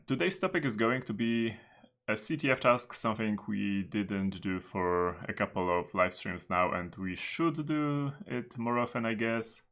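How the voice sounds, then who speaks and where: A man with a very low voice hosts his livestream in an easygoing, rambling manner. His tone is bright and forceful despite a lingering cold, and he peppers his talk with filler words. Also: creaky. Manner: relaxed and chatty, with frequent filler words. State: recovering from a cold, voice partly lost. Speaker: livestream host. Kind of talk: casual livestream chat.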